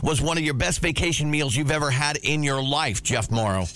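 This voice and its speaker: A man's voice: a little high, a little fast, fairly bright tone, no echo. A man hosting a morning radio show, interviewing a guest in an upbeat, gushing way with lots of filler sounds.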